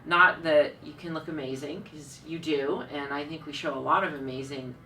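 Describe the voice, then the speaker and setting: Assertive middle-aged woman, low-pitched, bright and forceful tone, recorded from a distance with faint noise. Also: normal speed. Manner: assertive, enthusiastic, self-described louder voice. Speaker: founder of a styling program. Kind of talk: conversational interview.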